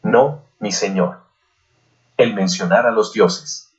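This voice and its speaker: A man voicing a young, homesick Aztec princess bride who pleads softly and deferentially, as in an audiobook. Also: on the low side, slow pace, lo-fi sound. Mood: melancholy pleading.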